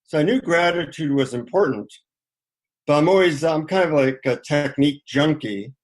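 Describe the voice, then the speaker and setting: A man's voice: on the high side, moderate pace. A middle-aged man speaking as an interview guest, informally sharing anecdotes and advice in a conversational way.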